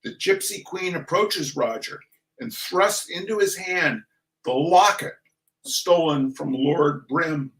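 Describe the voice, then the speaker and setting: A man reading a comic literary piece aloud in a theatrical, mock-serious manner with dramatic pauses. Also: a little high, a bit bright, rough recording. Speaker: reader.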